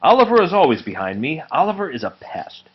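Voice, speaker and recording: A man reading a children's book aloud to a small child in an expressive way. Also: a little high, fairly quick, husky. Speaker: read-aloud storyteller. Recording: dull muffled home recording, faint noise.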